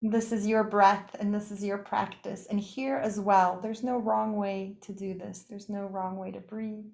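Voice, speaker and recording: A woman's mid-pitched voice carries noticeable room echo. She guides a meditation as a yoga teacher would, unhurried and gently inviting. Her short phrases are set apart by pauses. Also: level tone, creaky. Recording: echoey, roomy sound.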